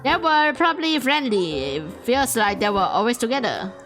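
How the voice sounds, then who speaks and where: A woman's voice: on the high side, big pitch swings, normal speed, music in the background. A woman hosting a let's play, thinking aloud as she works through clues in a mystery game. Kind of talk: casual game commentary.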